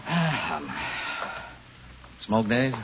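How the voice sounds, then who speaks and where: An American actor playing a plain-spoken, sympathetic police lieutenant in a 1950 radio crime drama. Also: male voice, lowish voice, big pitch swings, drawn-out words, very noisy, exaggerated voice. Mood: subdued, sympathetic. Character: police lieutenant, sympathetic old friend of detective. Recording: muffled vintage 1950 radio recording.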